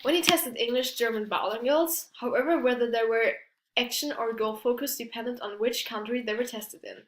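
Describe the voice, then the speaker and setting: Student presenter, young woman, higher voice, halting delivery full of restarts, roomy echo. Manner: hesitant, many restarts and fillers, non-native English phrasing. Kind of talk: class presentation. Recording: echoey room.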